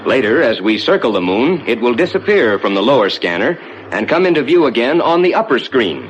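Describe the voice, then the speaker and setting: An actor playing a calm, reassuring rocket ship captain who explains the flight to passengers in measured, courteous 1950s ride narration. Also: male voice, medium pitch, highs cut off, lightly acted. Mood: composed, instructive. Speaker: spaceship captain and tour narrator. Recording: noisy vintage 1950s ride soundtrack.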